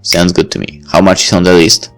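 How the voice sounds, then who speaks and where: A man's voice: low-pitched, little pitch movement, a little fast, fairly bright tone, faint music behind. A young man reading a visual novel aloud, playfully breaking off to joke and comment. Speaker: let's-play reader.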